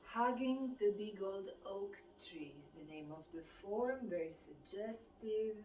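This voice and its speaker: A woman with a Romanian accent leads a guided exercise class as the instructor, giving measured movement and breathing cues. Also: mid-range voice, lively intonation, warm tone. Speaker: fitness and qigong instructor. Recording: distant mic, echoey room, background noise.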